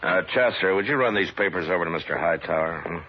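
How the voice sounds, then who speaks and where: Stern frontier lawman, bass register, terse authority, noisy vintage radio drama. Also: animated, fast. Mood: patient. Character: stern, watchful U.S. Marshal of the frontier West. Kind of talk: old-time radio western drama acting. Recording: muffled, noisy old radio recording.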